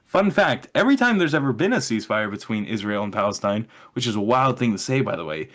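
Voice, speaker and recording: A man's voice: lowish voice, very animated, quick pace, a bit bright. A young man chatting casually as a podcast co-host, explaining his opinions in a sardonic way and swearing freely.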